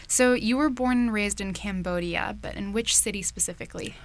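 A woman's voice: mid-range voice, fairly quick, no echo. A young adult woman hosting a radio interview show. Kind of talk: scripted intro, then conversational interview. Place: dry radio studio.

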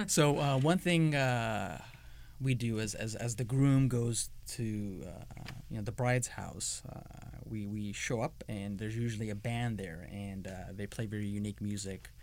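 A man's voice: lowish voice, a little slow, slight background noise. A middle-aged man from Iran answering interview questions in a hesitant way, with many ums and restarts.

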